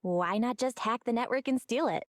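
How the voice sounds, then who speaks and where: Game heroine: woman playing plucky, clever young sister, high voice, close dry sound, measured confidence. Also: no echo, natural voice. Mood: probing, calm. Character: young sister, shrewd, composed, plucky underground operator. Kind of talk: English video game voice acting.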